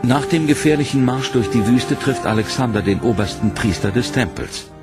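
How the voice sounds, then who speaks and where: A man giving scripted documentary narration in a measured, serious storytelling manner. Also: medium pitch. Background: dramatic music.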